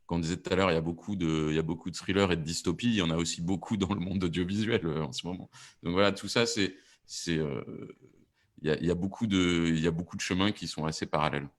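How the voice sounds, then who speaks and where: A man who works as an audio fiction producer, explaining on a panel and haltingly repeating words as he searches for phrasing. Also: low-pitched, steady tone.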